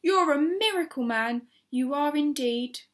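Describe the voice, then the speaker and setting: Woman acting excitable high-pitched gushing lady. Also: a little slow. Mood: proud, gushing. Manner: exclaiming, effusive. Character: excitable, gushing, adoring middle-aged lady tortoise owner.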